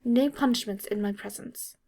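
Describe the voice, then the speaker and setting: A woman reading the part of an earnest, protective young wizard boy in an audiobook, with a measured, firm manner. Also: mid-range voice, vocal fry, darkish tone.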